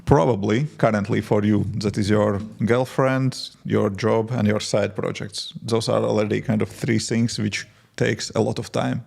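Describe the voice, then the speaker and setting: A man in his forties from Latvia with a Latvian accent, chatting casually with viewers while coding and answering their questions with halting restarts. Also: fairly low pitch, fairly even intonation, creaky, darkish tone. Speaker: indie app developer streaming. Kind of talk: casual live-stream chat while coding.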